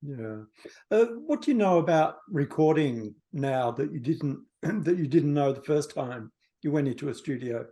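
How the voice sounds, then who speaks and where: Mellow, higher-pitched male interviewer. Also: expressive, moderate pace. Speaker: music magazine editor, interviewer. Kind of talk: casual interview questions. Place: video call. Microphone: video call.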